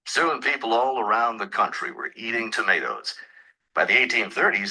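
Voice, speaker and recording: Very bright, husky, animated male narrator. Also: mid-range voice, poor audio. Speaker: lecture reader in a listening test. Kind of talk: scripted educational narration.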